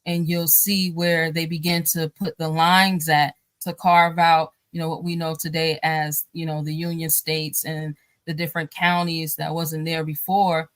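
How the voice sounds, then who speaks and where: Soft-pitched woman talking in a rambling way. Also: little pitch movement, measured pace, hardly any pauses, no room sound. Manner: rambling, many fillers and restarts; laughs often while talking. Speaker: guest. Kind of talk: casual talk show conversation.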